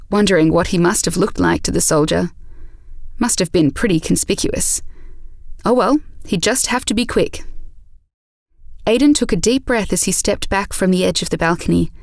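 A woman narrates a scripted audio drama. Her medium-pitched voice has a noticeable creak. The sound is dry and close. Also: a little mellow, no echo.

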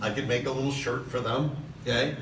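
A middle-aged man preaching a sermon from a podium in a large church hall, passionate, with "okay?" asides and slow emphatic lines. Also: medium pitch, fairly even intonation. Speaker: pastor. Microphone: far camera in the hall. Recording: echoey hall recorded from a distance with noise.

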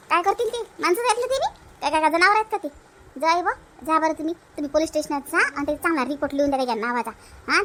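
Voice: high voice, fairly bright tone. A cartoon voice playing an emphatic, quarrelsome village housewife in a Varhadi Marathi accent from Vidarbha, repeating words in comedy dialogue. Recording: noticeable background noise.

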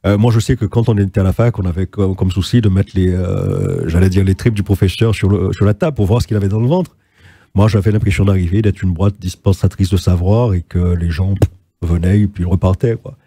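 Older man, from Guadeloupe, low husky voice, dry studio sound, measured and reflective. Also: fairly even intonation, mellow, dry recording. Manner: measured, reflective, repeats phrases for emphasis. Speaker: guest, journalist and writer. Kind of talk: studio TV interview. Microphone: lapel mic.

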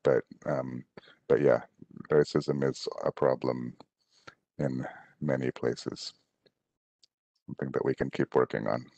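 A middle-aged man explaining things in a casual, halting, thoughtful way as a guest author answering questions on a video call. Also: rumbling low, level tone, creaky, mellow, no room sound, highs cut off. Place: small room at home, indoors, on a video call. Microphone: headset on a video call.

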